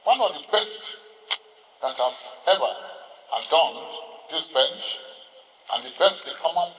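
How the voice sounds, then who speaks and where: A man with a Nigerian accent giving a formal courtroom address as a senior lawyer, speaking in a deliberate, halting, oratorical way. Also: fairly high pitch, expressive, fairly bright tone. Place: large hall, ceremonial court session. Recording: echoey hall, distant microphone.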